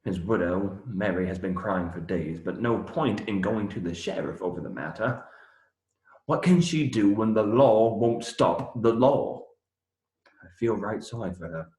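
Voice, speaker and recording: An actor playing a young minstrel, cheery by nature but troubled, earnest and folksy in a radio play. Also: male voice, lowish voice, expressive, measured pace, a little mellow. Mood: grieving. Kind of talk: theatrical radio play acting. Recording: roomy echo.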